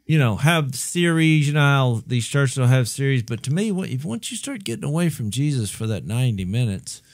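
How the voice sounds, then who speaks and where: A man's voice: medium pitch, animated, a little fast, no room sound. A middle-aged man on a podcast, talking earnestly and passionately about church, close to a studio mic in a small room. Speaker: podcast co-host. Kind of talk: casual conversation. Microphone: close studio mic with headphones.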